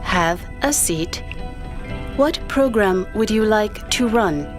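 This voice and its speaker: A woman playing a composed, formal attendant and official in an audio drama, with measured, instructive delivery. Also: mid-range voice, expressive, music in the background. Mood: soothing.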